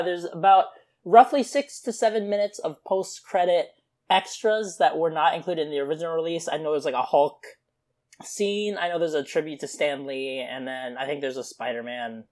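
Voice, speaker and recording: A young man co-hosting a pop culture podcast, chatting casually and filling gaps with uh and um. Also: fairly high pitch. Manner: relaxed, lots of uh and um fillers. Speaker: podcast co-host. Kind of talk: casual chat.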